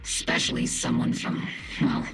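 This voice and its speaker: A woman playing a gruff, seasoned military captain in a video game, with a gravelly voice and a dry, guarded, authoritative manner. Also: moderate pace, a bit bright, natural voice. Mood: guarded, stern. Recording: music behind the voice.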